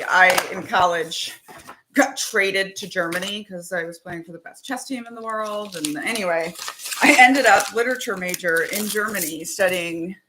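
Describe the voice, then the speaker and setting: A woman talking casually and animatedly as host, with quick asides, laughs and the odd swear word. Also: medium pitch, noisy background. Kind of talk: casual chat to camera.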